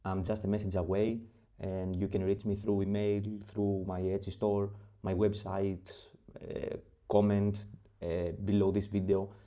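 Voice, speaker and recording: A man with a Greek accent explains a budget spreadsheet one step at a time. His voice sits on the low side and sounds soft and dark. He stops often with small fillers as he finds his words. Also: completely flat delivery. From Greece. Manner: methodical step-by-step explaining with frequent pauses and fillers. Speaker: spreadsheet template creator. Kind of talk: screen-recorded software tutorial.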